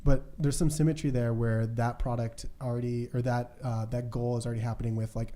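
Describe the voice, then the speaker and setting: A man's voice: medium pitch, little pitch movement, brisk, dark tone. A man co-hosting a podcast, talking in a casual, conversational way. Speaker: podcast co-host.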